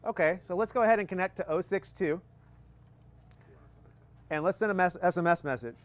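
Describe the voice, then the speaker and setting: A man with a somewhat high voice gives a live field demonstration. He explains each step casually, with frequent ums and light jokes. He is speaking outdoors under a tent. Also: brisk. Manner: casual, joking, explains step by step with many fillers. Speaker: presenter at a live equipment demonstration. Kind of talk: live technical demo talk.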